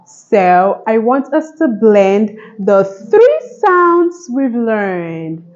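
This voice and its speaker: A woman with a high voice teaches phonics to small children at a very slow pace. She is encouraging and sing-song, giving simple instructions and repeating them. The recording sounds a little dull. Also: sing-song, darkish tone. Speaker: phonics teacher and channel host. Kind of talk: children's phonics lesson, addressed to young viewers.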